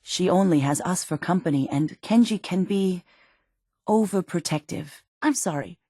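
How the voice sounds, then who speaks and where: An actress playing a worried, protective middle-aged mother in a post-apocalyptic video game, speaking earnestly and emotionally, with halting phrases. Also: on the low side, dark tone, husky, dry recording, clean studio sound. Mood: worried, reflective. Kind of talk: dramatic video game voice acting.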